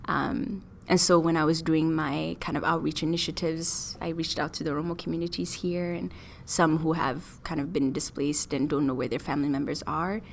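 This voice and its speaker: A young woman student from Ethiopia, talking about her studies and experiences thoughtfully, with fillers and pauses. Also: low voice, level tone, creaky, a little hiss or hum. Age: young adult. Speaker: student interviewee. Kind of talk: casual interview.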